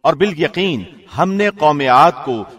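A man reading a scripture translation in a scripted, measured radio-presenter style. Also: fairly high pitch, animated, a bit bright. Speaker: translation reader, radio host.

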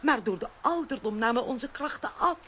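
A woman in a children's radio play voicing a quaint, wistful elderly fairy with a husky voice. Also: a little high, expressive, moderate pace, fairly bright tone.

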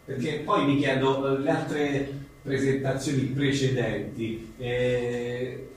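Male interviewer, unhurried and hesitant, medium pitch, picked up from a distance in a heavily reverberant space. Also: flat delivery. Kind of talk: informal live interview. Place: echoey indoor venue at a live event. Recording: very echoey room, distant mic, faint noise.

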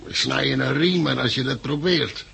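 Gravelly, surly rough thug. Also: male voice, medium pitch, moderate pace, a bit bright, full character voice. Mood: threatening. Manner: gruff, sarcastic. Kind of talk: 1960s radio drama acting.

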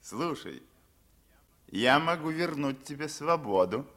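Smug army sergeant, bright forceful voice, slow lively swoops, mocking, old radio drama. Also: male voice, fairly high pitch. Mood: mocking, cajoling. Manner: mocking, swaggering, persuasive. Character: smug, cajoling army sergeant, a turncoat prisoner. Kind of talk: 1950s Soviet radio drama acting.

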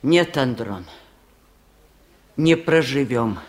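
Wise old rural aunt, stubborn, wry, chiding, unhurried, 1970s radio drama. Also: female voice, very deep voice, lively intonation, darkish tone, heavily acted. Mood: fervent. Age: older. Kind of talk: 1970s Soviet radio play acting.

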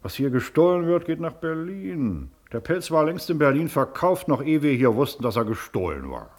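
An actor playing a self-important, condescending Prussian district official in a classic radio play, pompous and declamatory. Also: male voice, on the high side, very animated, moderate pace.

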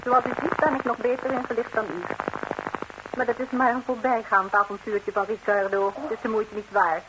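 An actress playing a composed, sharp-tongued betrayed wife in a 1960s radio comedy, answering with cool, pointed deliberation on a hissy recording. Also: female voice, on the high side, little pitch movement, normal speed, close to an everyday voice. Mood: cool amusement.

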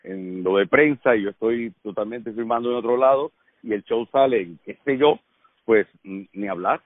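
A man's voice: lowish voice, normal speed, no echo. Middle-aged Cuban man, actor guest, chatty storyteller, phone-line sound. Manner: lively storyteller, laughs often. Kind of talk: casual radio conversation.

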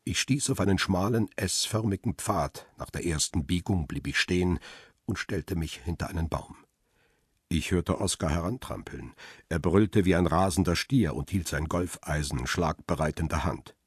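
An actor playing a grim male photographer who narrates a crime radio drama in a measured, terse, husky voice. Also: sits low, lively intonation, no echo, clean studio sound. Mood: grim, tense. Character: middle-aged male photographer Peter Lime, grim first-person narrator.

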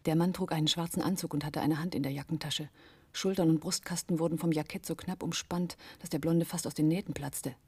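Very husky, worldly wife. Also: female voice, low-pitched, steady tone, brisk, dark tone, heavily acted. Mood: tense narrating. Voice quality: husky, breathy. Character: sardonic, worldly smuggler's wife. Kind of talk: German radio play acting and narration.

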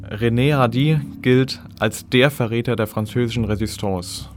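A man's voice: mid-range voice, normal speed. A man narrating a documentary from a script, setting out historical facts. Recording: faint background noise and ambience.

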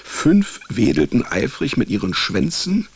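An actor playing a wry, hapless male private detective, deadpan in his narration and stammering when cornered, in a radio drama. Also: mid-range voice, measured pace, creaky, slightly rough, no echo, full character voice. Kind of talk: radio drama, first-person hardboiled comic narration.

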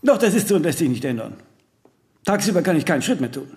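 An actor playing a vain, ageing celebrity in a radio play, imperious, aloof and rambling in aphorisms with little tag questions. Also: male voice, on the high side, sing-song, fast, a little mellow, faint music behind. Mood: musing, pontificating. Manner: haughty, rambling, aphoristic, with clipped tag questions and self-corrections. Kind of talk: radio play monologue in dialogue.

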